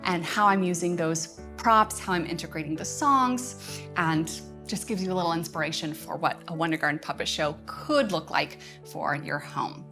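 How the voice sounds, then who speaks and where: A woman's voice: fairly low pitch, normal speed, sharp tone, soft background music. A woman giving casual livestream announcements as a host, speaking in a chatty, enthusiastic way. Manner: chatty, enthusiastic, often chuckling. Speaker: host of a curriculum update livestream.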